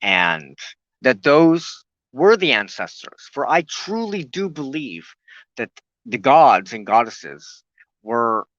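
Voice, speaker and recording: Slow, halting man with a bright voice. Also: medium pitch, very animated, no echo, dull audio. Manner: halting, pausing often mid-sentence. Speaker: host of a spiritual broadcast. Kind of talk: unscripted spoken teaching on folklore.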